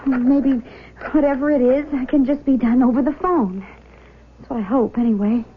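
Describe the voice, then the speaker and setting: On a tinny, faintly noisy vintage radio recording, an actress plays an anxious young American daughter. Her voice is high and her delivery hesitant and stubborn, in radio-drama style. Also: moderate pace, natural voice. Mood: reluctant, nervous. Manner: hesitant, nervous. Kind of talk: 1970s radio drama acting.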